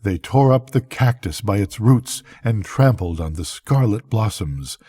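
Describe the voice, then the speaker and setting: An older man reading a bedtime story as a grandfather, with measured, deliberate phrasing and pauses. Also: fairly low pitch, animated, mellow, husky, no echo.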